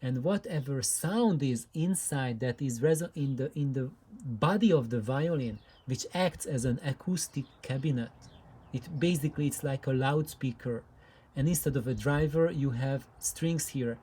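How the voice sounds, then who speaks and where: A man giving an unscripted explanatory demonstration, talking in a deliberate, halting way as he presents. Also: medium pitch, warm tone, husky. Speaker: presenter of hobbyist audio videos.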